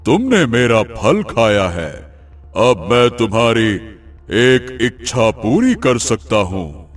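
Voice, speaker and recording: Man as a slow, solemn magical tree spirit. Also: medium pitch, sing-song. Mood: solemn, warning. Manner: measured, warning, deliberate. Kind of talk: Hindi cartoon moral story acting.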